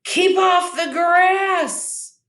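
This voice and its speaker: A woman's voice: very high voice, extremely slow, a bit bright, pitched above the performer's own voice, heavily acted. A woman voicing a prickly cactus with a curt, exclaiming manner in a children's storybook. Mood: grumpy. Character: prickly, grumpy cactus who secretly feels lonely. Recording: echoey room.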